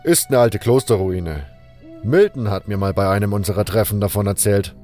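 A man's voice: fairly low pitch, moderate pace, dark tone, faint music behind, natural voice. A man voicing a blunt, unschooled adventurer in an audio drama, rambling along in a colloquial, unbothered way. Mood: casual. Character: gruff, carefree male adventurer, unschooled and blunt. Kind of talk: fan audio drama dialogue.

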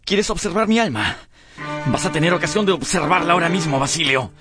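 A man's voice: on the high side, lively intonation, brisk, lightly acted. An actor playing a corrupt, vain man of youthful looks in his late thirties, theatrical and taunting, in a radio drama. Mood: coldly taunting. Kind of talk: Spanish-language radio drama acting. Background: music.

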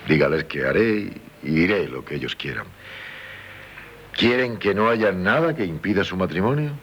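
An actor playing a despairing, self-loathing but tender husband in a radio drama, with a Spanish accent from Spain and halting delivery. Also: male voice, low voice, lively intonation, fairly bright tone, breathy edge, dull audio, full character voice. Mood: weary, bitter. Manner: halting, repeats words, dramatic pauses. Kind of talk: 1970s radio drama acting. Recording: noisy 1970s radio broadcast recording.

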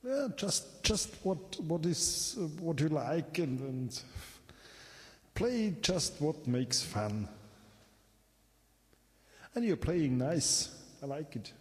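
A man of forty-nine with an Austrian accent, chatting casually and playfully in halting, repetitive English as a native German speaker. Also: on the high side, very animated. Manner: playful, halting, repeats words. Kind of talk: casual chat with a stranger playing music. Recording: long reverb with faint music. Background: faint music being played.

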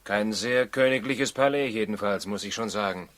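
A man's voice: on the low side, moderate pace, fairly bright tone, no room sound, natural voice. An actor playing a doubting, dry-humoured wise man from the East in a 1960s radio drama. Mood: doubtful, dry.

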